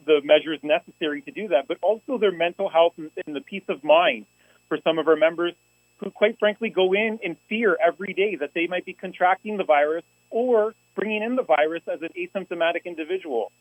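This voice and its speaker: A man's voice: high voice, no echo, dull audio. A man speaking earnestly at a public school board meeting, often restarting his phrases. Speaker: union representative presenting to a school board.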